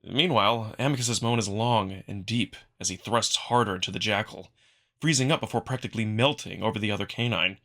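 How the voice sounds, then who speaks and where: A man giving an expressive, dramatic first-person narration of a visual novel, acting out the narrator's feelings. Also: lowish voice, brisk. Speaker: narrator, amateur voice performer.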